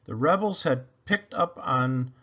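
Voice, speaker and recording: Male storybook reader, unhurried, dramatic stresses, slightly muffled lo-fi recording. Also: mid-range voice, expressive, bright, forceful tone. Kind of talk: scripted read-aloud storytelling. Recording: dull, lo-fi sound.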